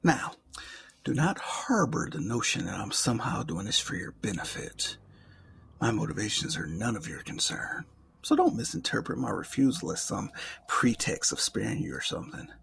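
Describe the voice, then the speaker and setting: Man acting as ancient demon, heavy gravelly fry, half-whispered, theatrical, jaded, condescending, ASMR roleplay, faint background noise. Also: fairly low pitch, sing-song, fairly bright tone, exaggerated voice. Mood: aloof, cautioning. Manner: drawn-out, theatrical, formal wording, half-whispered phrases.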